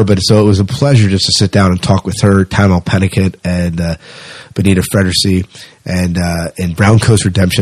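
A middle-aged man with a fairly low voice hosts a podcast in an informal, conversational way. The sound is very dry, with little room around the voice. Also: a little mellow, slightly rough, dry recording. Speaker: podcast host. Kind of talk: casual podcast chat.

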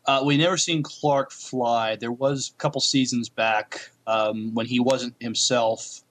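A man co-hosting a podcast, chatting casually about TV in an agreeable, halting way. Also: mid-range voice, fairly even intonation, a bit bright. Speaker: podcast co-host. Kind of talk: casual TV review chat.

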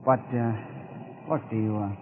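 Brash American army dispatcher, dull radio sound. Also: male voice, on the low side, flat delivery, slow. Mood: concerned. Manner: slangy, needling. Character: brash, needling young army ground dispatcher. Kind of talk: 1940s radio acting. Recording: dull 1940s radio recording, faint noise.

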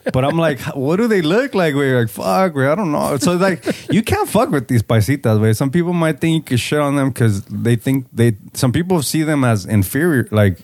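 A man's voice: on the high side, big pitch swings, a little fast, hardly any pauses, a little mellow, no echo. A man chats and tells stories casually as a podcast co-host, swearing heavily and dropping in Spanish slang. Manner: slangy, heavy swearing, mixes in Spanish words. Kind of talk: casual chat, storytelling.

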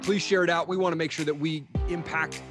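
A man's voice: on the high side, fairly even intonation, brisk, music in the background. Friendly man in his forties hosting a podcast. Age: 40s. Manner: friendly, affirming listener. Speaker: podcast host. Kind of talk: casual interview chat.

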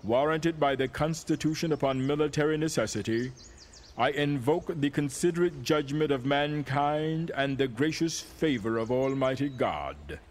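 A man's voice: medium pitch, lively intonation, moderate pace, slight background noise, natural voice. A man playing a solemn, resolute American president delivering a proclamation in a stately, oratorical manner. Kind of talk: dramatic reading of a proclamation.

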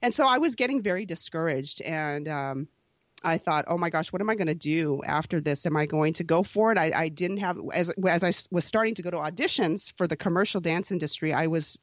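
Woman, forties, lower register; candid and reflective guest, restarts often, soft laughs; dry, slightly dull sound. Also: brisk, no room sound. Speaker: podcast host being interviewed as guest. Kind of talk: candid personal storytelling.

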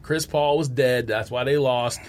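A man's voice: mid-range voice, measured pace, slight background noise. A man on a podcast panel, giving blunt, clipped opinions in casual sports talk.

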